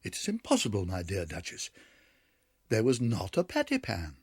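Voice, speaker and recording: A man's voice: lowish voice, wildly swooping pitch, normal speed, breathy edge, no echo, studio quality, full character voice. A man voicing a prim, proper female cat hostess who speaks with polite disapproval. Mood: severe.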